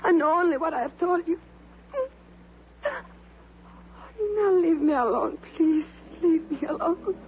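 A woman's voice: extremely high pitch, moderate pace, slight background noise. An actress playing a distraught, pleading woman in a 1950s radio drama. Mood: anguished pleading. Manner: emotional, pleading delivery. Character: distraught foreign woman, grieving and pleading under questioning. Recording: muffled old radio recording, faint music.

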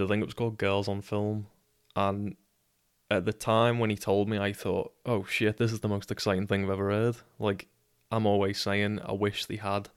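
A young man from Liverpool with a Scouse accent, hosting a casual solo podcast and telling a story in a monotone, sweary way, often tripping over his words. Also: fairly low pitch, smooth, dry recording, clean studio sound. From Liverpool, England. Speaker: podcast host.

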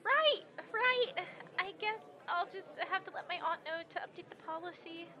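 A woman playing a squeaky, nervous young underling. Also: normal speed, muffled recording, crowd noise. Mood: hesitant. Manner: hesitant, awkward. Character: nervous, squeaky, eager-to-please young underling, awkward liar. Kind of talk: sci-fi audio drama acting.

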